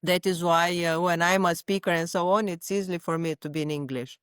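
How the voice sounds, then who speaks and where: Woman, Romanian accent, native Romanian speaker, smooth clear voice, dry close sound, hesitant with many pauses. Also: on the low side, fairly even intonation, fairly bright tone, no echo. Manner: halting, frequent "uh" pauses. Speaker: psychotherapist guest. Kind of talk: podcast interview.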